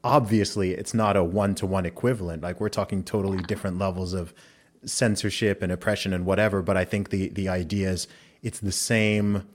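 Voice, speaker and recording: A man who grew up in Saudi Arabia, hosting a podcast interview and talking in a measured, reflective way through long arguments. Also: low voice, steady tone. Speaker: podcast host. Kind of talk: conversational interview.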